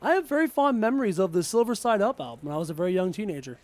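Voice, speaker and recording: A man's voice: high voice, expressive, racing, darkish tone, no echo. A young Canadian man with a slight cough chats casually during a live gaming stream, reading out viewer questions and getting frustrated with the game. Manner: chatty, reads viewer questions aloud, frustrated outbursts at the game. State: sick with a cough. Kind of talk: casual live-stream chat while playing.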